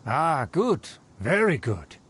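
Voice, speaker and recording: A man's voice: a little high, big pitch swings, slow, a bit bright, slight background noise, exaggerated voice. A man voicing a haughty, pompous, vain aristocrat in a video game, speaking in a formal, condescending way. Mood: condescending approval. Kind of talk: video game character acting.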